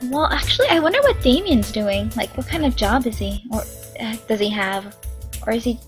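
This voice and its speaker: A hoarse young woman reading a visual novel aloud, chatty with excitable asides. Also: fairly high pitch, moderate pace, soft background music. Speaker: game reader and narrator.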